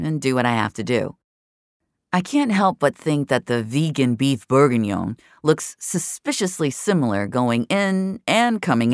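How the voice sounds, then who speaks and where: A woman's voice: very deep voice, slight background noise. A woman narrating scripted first-person audio fiction in a wry, sardonic and frank manner.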